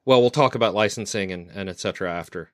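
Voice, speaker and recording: A man's voice: lowish voice, fast, no room sound, clean studio sound. A man hosting a podcast, chatting casually and giving frequent short acknowledgments. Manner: friendly, frequent backchannel mm-hmms. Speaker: podcast host. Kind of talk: casual conversation.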